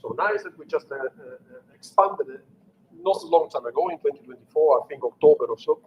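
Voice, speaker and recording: A man's voice: fairly high pitch, animated, fairly quick, lo-fi sound, a little hiss or hum. A middle-aged man from Italy with an Italian accent, giving an unscripted technical presentation with many fillers and restarts. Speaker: engineering manager giving a technical talk.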